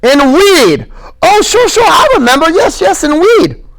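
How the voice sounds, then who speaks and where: A man's voice: very high voice, very animated, normal speed, gravelly, a bit bright, voice pushed up, full character voice. A man reading aloud and voicing a big, simple-minded grown man who stammers timidly and pleads like a child. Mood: timid, pleading. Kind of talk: audiobook character reading.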